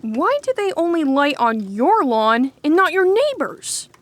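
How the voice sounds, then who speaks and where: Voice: sits high, big pitch swings, unhurried, no room sound. A performer playing an innocent, food-loving middle school boy sidekick in an audio drama, simple and hesitant. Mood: puzzled, hesitant. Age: child character, middle school.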